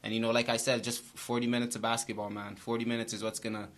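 A young man, a college basketball player, answering press questions after a game in a steady, repetitive way, speaking into a table mic in a small brick-walled room. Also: medium pitch, fast talker. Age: young adult. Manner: flat, steady, repeats phrases. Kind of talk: postgame press conference answers. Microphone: table mic in front.

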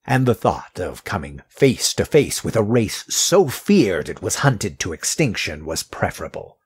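A stern, courteous middle-aged mortician of an audio drama, acted by a man. His voice is low and heavily rasped. He phrases things formally and guardedly, with a lively rise and fall. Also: a little slow, heavily acted. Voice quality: husky, rough.

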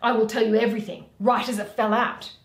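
A woman's voice: on the high side, little pitch movement, fairly quick, lightly acted. A woman performing a boisterous, self-important male tradesman in a Shakespeare comedy, declaiming grandly and emphatically. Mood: boisterous, commanding. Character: boisterous, self-important Athenian tradesman amateur actor, Bottom. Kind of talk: Shakespeare read aloud as solo performance. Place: small room at home, indoors. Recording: roomy home recording.

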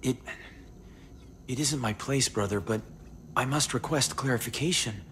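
A man's voice: medium pitch, normal speed, fairly bright tone, natural voice. A man playing a dutiful, hesitant junior monk in a video game, speaking formally and earnestly. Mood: doubtful, deferential. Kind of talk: video game character dialogue. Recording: noisy room ambience.

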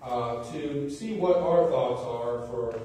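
A middle-aged male pastor at a lectern giving church announcements, relaxed and a little hesitant. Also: mid-range voice, slow. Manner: relaxed, hesitant, filler-heavy. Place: large church sanctuary. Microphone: lectern microphone. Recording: echoey sanctuary from far off.

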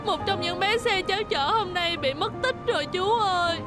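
A woman's voice: very high voice, moderate pace, music in the background. A woman voicing a worried, caring young woman van in a cartoon dub, polite and anxious. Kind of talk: cartoon dubbing.

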